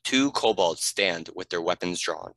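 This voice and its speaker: A man's voice: low-pitched, animated, unhurried, sharp tone, no echo. A man reading scripted stage directions as a narrator.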